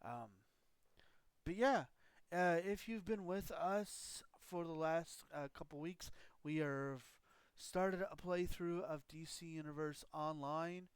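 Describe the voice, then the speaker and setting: A man chats through a live game stream, his voice a little high and smooth yet roughened by a scratchy throat. He rambles through explanations with plenty of ums. The sound is close and dry. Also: slow, no room sound. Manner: rambling, loose explanations with frequent fillers. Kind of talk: casual live-stream chat.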